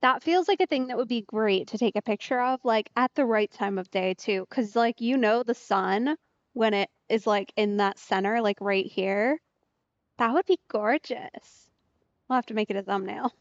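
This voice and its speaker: A woman with a high voice chats informally as she streams a game. The sound is close, dry and a little dull, with game music underneath. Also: normal speed, no room sound. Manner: chatty, informal. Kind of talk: casual game let's-play commentary.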